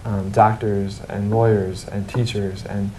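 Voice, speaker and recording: A man's voice: level tone, unhurried, mellow. A man giving earnest, explanatory interview answers as a member of a charity team. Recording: roomy echo, faint background noise, rumble.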